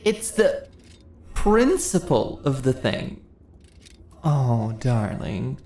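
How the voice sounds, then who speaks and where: A woman's voice: rumbling low, sing-song, very slow, warm tone, full character voice. A woman playing a sly, commanding immortal dungeon mistress in a fantasy ASMR roleplay, teasing and condescending in a theatrically persuasive way. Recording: echoey room with faint noise.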